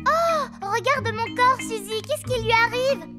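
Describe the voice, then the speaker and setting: A woman's voice: very high-pitched, a little slow, loud music behind the voice. A woman voicing a cheerful, curious little girl piglet in a children's cartoon, with childlike exclamations. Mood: playful.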